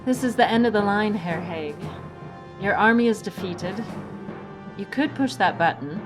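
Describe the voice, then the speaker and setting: A woman's voice: mid-range voice, lively intonation, close to an everyday voice. Woman playing a composed, precise adventure heroine, music behind. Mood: earnest, persuasive. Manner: measured, precise, dry. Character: composed, principled, pedantic heroine who reasons with villains. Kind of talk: audio drama acting.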